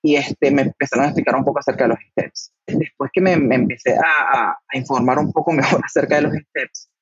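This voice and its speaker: Husky man, hesitant storytelling. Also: mid-range voice, steady tone, a little fast, a bit bright, no echo, dull audio. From Venezuela. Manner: hesitant, repeats words while recalling. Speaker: guest, doctor. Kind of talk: casual interview answers.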